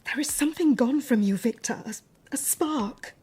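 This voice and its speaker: An actress playing a devoted, worried young bride with a soft, breathy, tender delivery in a dramatised audiobook. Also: female voice, sits high, moderate pace. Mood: worried tenderness. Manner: tender, concerned.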